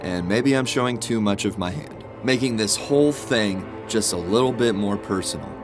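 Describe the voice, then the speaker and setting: A man's voice: lowish voice, moderate pace. A man reading a reflective, confessional personal video essay as a scripted narration. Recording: guitar music behind the voice. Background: guitar music.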